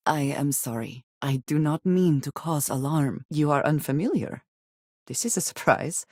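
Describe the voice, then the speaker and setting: An actress playing a guarded, earnest and devout woman spacefarer in a video game, speaking in measured, formal phrases. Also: extremely low pitch, darkish tone, husky, no room sound, studio quality, heavily acted. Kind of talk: video game character dialogue.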